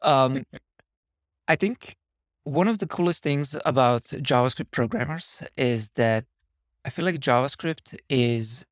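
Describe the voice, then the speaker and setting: A man from Slovenia, a software engineer guesting on a podcast, who talks casually and wanders off on tangents. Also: medium pitch, dry recording, clean studio sound. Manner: rambling, self-deprecating, digressive.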